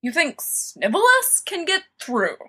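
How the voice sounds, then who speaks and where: A sly, careless teenage schoolboy from a storybook, acted by a woman reader. The voice is fairly high and bright, swooping up and down as he mocks and scoffs. Everything comes out flippant and cocky. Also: huge pitch swings, measured pace, exaggerated voice. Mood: flippant, scoffing. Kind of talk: dramatised fan-fiction audiobook reading.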